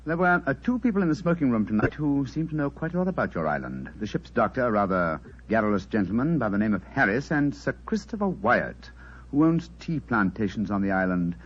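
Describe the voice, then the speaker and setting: An actor playing a commanding, analytical English detective with clipped, precise diction in a vintage radio drama. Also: male voice, medium pitch, very animated, fairly quick, slightly rough. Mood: probing. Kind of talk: 1940s radio drama acting. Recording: dull, muffled vintage radio recording.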